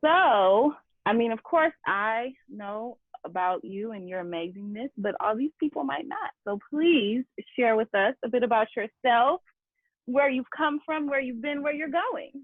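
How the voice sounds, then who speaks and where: A woman's voice: fairly high pitch, animated, unhurried, dry recording. A woman hosting an interview series over a video call, talking in a rambling, repetitive way. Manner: warm, rambling, repeats words. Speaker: interview host. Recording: dry, phone-like call audio.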